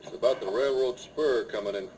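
A man's voice: mid-range voice, a little fast, darkish tone, close to an everyday voice. An American man playing a worried, grave, folksy frontier town mayor in an old-time radio western. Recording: distant, noisy vintage radio recording.

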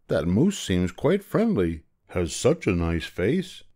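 A man voicing a small, courteous, flattering tree spider in a children's storybook. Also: sits low, takes it slowly, full character voice.

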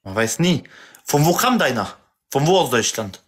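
Man in his twenties, live streamer, teasing and chatty, very lively pitch, slightly husky. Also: normal speed. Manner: teasing, chatty, talks to viewers. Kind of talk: casual live stream chat.

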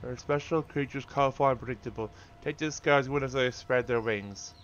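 A man's voice: medium pitch, moderate pace, muffled recording, music in the background. A man giving casual, informal commentary as he plays a video game, reacting to what happens on screen. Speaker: gamer, video host.

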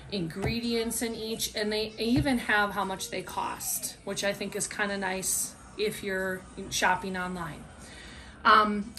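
A middle-aged woman talking informally and at some length in a casual product review, recorded at a table at home. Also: mid-range voice. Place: small room at home, indoors. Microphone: camera a short way off across a table.

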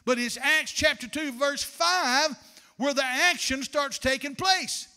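High, bright-voiced older preacher man. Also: takes it slowly. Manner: fervent preaching, rising to shouts; addresses congregation as honey. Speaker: pastor. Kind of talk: sermon. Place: church sanctuary at a pulpit. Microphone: handheld microphone.

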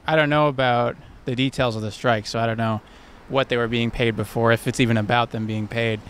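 A man's voice: mid-range voice, fairly even intonation, brisk. A young man answering a street interviewer outdoors, thinking aloud and restarting his sentences. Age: young adult. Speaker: passerby. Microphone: handheld mic held by interviewer. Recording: faint street noise behind.

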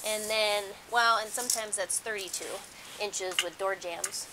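A woman's voice: mid-range voice, steady tone, measured pace, heavy background noise. A middle-aged woman giving a casual, conversational how-to demonstration at home, explaining things as she goes. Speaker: DIY host. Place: carpeted room at home.

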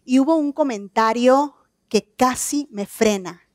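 A woman in her thirties from Nicaragua giving an earnest, measured motivational talk on an outdoor evening stage. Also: sits high, no echo, studio quality. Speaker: keynote speaker.

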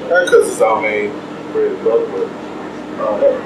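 A man with a Texan accent, a barber giving short, relaxed, polite answers while cutting hair, recorded by a far camera mic in a small trailer. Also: fairly low pitch, moderate pace. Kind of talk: casual interview answers. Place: small trailer interior, windy outdoor event. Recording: distant roomy sound, loud fan and wind noise.

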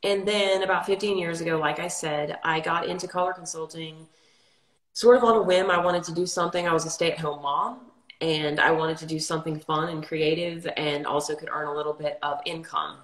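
A middle-aged woman from New Orleans talks through her work in a slightly low voice. She is articulate and unhurried, like a guest on a livestream interview. Her voice echoes, since she is talking over a video call from a room at home. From New Orleans, Louisiana. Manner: articulate, steady storytelling. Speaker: guest, color consultant.

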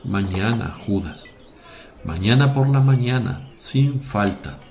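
A man playing a gentle, authoritative teacher in a radio drama, speaking in a calm, measured way. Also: fairly low pitch. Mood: calm, assured.

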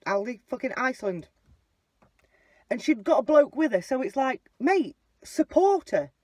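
A woman's voice: high-pitched, unhurried, dry recording. Sweary woman in a car. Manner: animated, sweary, blunt. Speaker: vlogger. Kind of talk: casual storytelling. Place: inside a car. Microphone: phone camera mounted on the dashboard.

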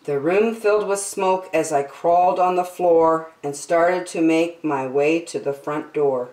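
A middle-aged woman reading a rhyming picture book aloud to children, drawing out and stressing her words in a deliberate storytelling manner. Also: low-pitched, mellow. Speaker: children's story time host. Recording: roomy indoor echo.

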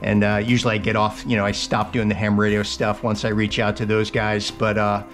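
Man, pilot, ham radio chat, relaxed, enthusiastic, filler words, headset mic. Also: fairly low pitch, fairly quick, soft background music. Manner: relaxed, enthusiastic, full of fillers, ends turns with "over". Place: small plane cockpit, then home radio room.

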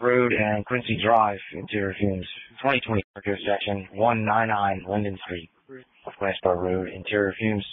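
A man's voice: on the low side, steady tone, moderate pace, slight background noise. A man working as an emergency dispatcher, reading fire and EMS calls over a two-way radio in clipped, formal phrasing. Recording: phone-like fire radio transmission.

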